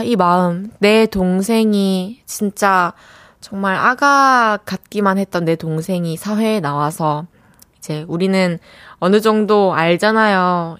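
A woman's voice: lowish voice, no room sound. A young woman hosting a radio show, reading listener messages and chatting about them. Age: young adult. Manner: chatty, warm reactions to listener texts. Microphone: studio mic.

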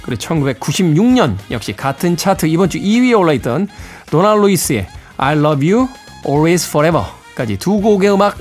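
A man's voice: fairly high pitch, very animated, normal speed. A middle-aged man hosting a music radio show, mixing song introductions with casual anecdotes in a wry, self-teasing, conversational manner. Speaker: radio host and DJ.

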